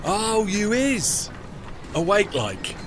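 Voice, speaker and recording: A man's voice: sits high, sing-song, extremely slow, background noise, heavily acted. An actor playing a chatty, deferential working-class tugman with a London Cockney accent and a rambling, folksy manner in a period audio drama. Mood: cheerful, deferential.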